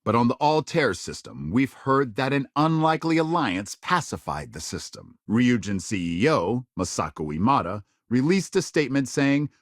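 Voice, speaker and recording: A man's voice: mid-range voice, big pitch swings, moderate pace, hardly any pauses, no echo, clean studio sound. An actor voicing an earnest, polished male news anchor in a video game, with emphatic, dramatic broadcast phrasing. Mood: grave, urgent.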